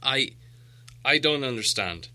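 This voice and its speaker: A man voicing a proud, gruff dwarf leader in a storybook, haughty and demanding, with a weighty, imperious way of talking. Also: medium pitch, expressive, measured pace, bright, forceful tone, pitched above the performer's own voice. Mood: bewildered. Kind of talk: storybook character voice.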